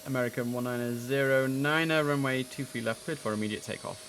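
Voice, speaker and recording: A man's voice: mid-range voice, fairly even intonation, fairly quick, background noise. A man commentating casually on a simulator game, chatting and musing to himself, with clipped radio calls. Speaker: streamer playing an air traffic control simulator.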